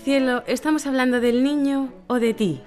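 A woman's voice: sits high, fairly even intonation, normal speed, over music, natural voice. An actress in a satirical radio drama playing a pragmatic, exasperated mother who reasons sarcastically, with a Castilian Spanish accent. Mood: wry.

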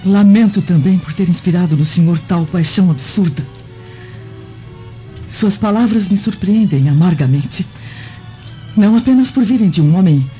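An actress playing a dignified, virtuous Roman noblewoman in a radio drama, formal and measured. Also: lowish voice, warm tone, natural voice. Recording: dull lo-fi audio with music.

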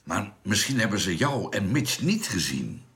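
Low-voiced, questioning spaceship crewman. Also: sing-song, normal speed, gravelly, a bit bright, exaggerated voice. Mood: uneasy. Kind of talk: science fiction radio drama acting.

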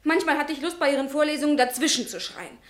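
A passionate young woman student, radio play, high voice, fervent, dreamy, headstrong. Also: animated, fast talker. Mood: reproachful.

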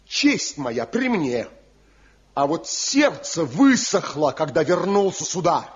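An actor playing a wounded, proud young wanderer in an impassioned, theatrical Soviet radio drama style. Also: male voice, fairly high pitch, sing-song, slow pace. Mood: wounded pride. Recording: dull vintage radio recording.

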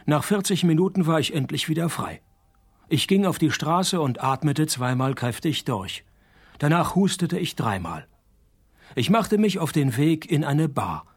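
A world-weary detective in a radio noir parody. His voice sits on the higher side and sounds rough and husky. He narrates dryly, with laconic, mocking understatement. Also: heavily acted. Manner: dry, sardonic, laconic. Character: wry, world-weary, hard-drinking male private detective. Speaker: lead character and narrator. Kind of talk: deadpan hard-boiled detective parody narration.

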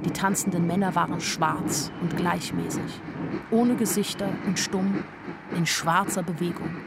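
Young narrator, lowish pitch, naive yet wry, matter-of-fact, literary radio drama. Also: female voice, fairly even intonation, measured pace, gravelly, very noisy. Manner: dry, artless matter-of-fact observations. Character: naive, wryly observant young woman telling her story. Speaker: first-person narrator.

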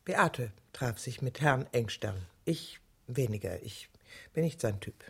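An actress playing a bitter, jealous middle-aged woman with secret murderous spite in a radio drama, speaking in a low, gravelly, often whispery voice with clipped, venomous control. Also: animated, measured pace, dry recording, heavily acted. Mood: guarded, controlled. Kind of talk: intimate radio drama monologue and dialogue.

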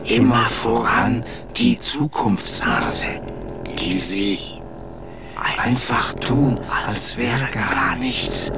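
An actor playing a deadpan reciter of aphorisms and silly rhymes in an experimental radio play, with measured delivery. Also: male voice, mid-range voice. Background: loud music.

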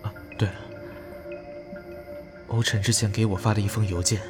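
A man acting a low-voiced, wary horror protagonist. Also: level tone, moderate pace, over music, lightly acted. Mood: self-reassuring. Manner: hesitant, brooding. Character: wary, anxious man deducing which passenger is a ghost. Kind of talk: suspenseful inner monologue in audio drama.

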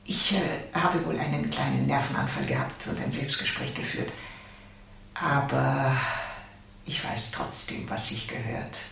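A woman's voice: rumbling low, measured pace, vocal fry, a bit bright, exaggerated voice. An actress playing a dignified, reserved elderly captive lady in a German radio drama. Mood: composed, firm. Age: older. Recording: echoey room, distant, faint noise.

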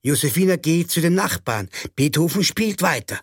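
A man's voice: a little high, very animated, normal speed. A man playing a mock-solemn storyteller, narrating an absurd radio play with theatrical announcements.

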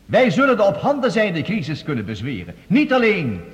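An actor playing a confident, visionary scientist, giving a formal, eloquent declamatory address in a radio drama. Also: male voice, high voice, huge pitch swings, fairly quick, lo-fi sound. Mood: rousing, fervent. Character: visionary, confident male scientist presenting a bold Mars plan. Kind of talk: Dutch radio drama acting, formal speech. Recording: long reverberant hall.

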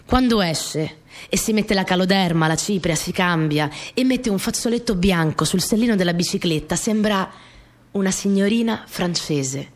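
A woman's voice: medium pitch, moderate pace, lightly acted. An actress playing a young peasant girl who recounts her rural family life in simple, repetitive phrases for a radio play. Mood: reflective. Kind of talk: first-person dramatic storytelling.

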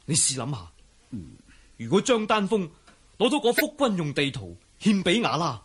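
A man's voice: sits high, sing-song, normal speed, breathy edge, exaggerated voice. A male actor playing an earnest, accusing young outlaw fighter in a Cantonese wuxia radio drama, insistent yet deferential. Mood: urgent, accusing.

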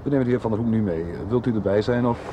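An actor playing a businesslike, formal police investigator in a Dutch radio soap. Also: male voice, medium pitch, steady tone, very fast, warm tone, lo-fi sound, a little hiss or hum, close to an everyday voice.

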